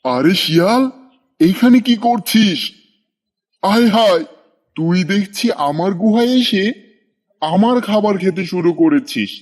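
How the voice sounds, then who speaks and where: A cruel, greedy tiger from a moral cartoon, acted by a man, pitched a little high. He is domineering and threatening, gloating over his strength and ordering weaker creatures about. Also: lively intonation, a little slow, pauses often, a little mellow. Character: strong, cruel, bossy, greedy male tiger. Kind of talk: scripted cartoon dialogue.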